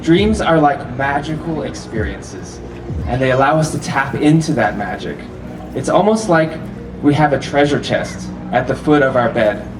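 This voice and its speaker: A man giving a relaxed, chatty talk at a festival, asking the audience questions and laughing often between points. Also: mid-range voice, moderate pace, over music. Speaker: festival speaker. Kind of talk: live public talk to an audience. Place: festival venue with a live audience. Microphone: handheld or stand microphone, often held far off. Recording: roomy echo, distant mic, audible hall noise.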